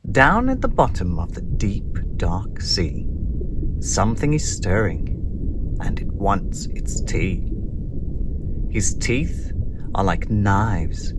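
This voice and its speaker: Male storybook narrator, theatrical, suspenseful, animated pitch, whispery dips, noisy ambient background. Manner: dramatic, suspenseful, drops into whispers. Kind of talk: theatrical children's read-aloud narration. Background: sound-effect ambience.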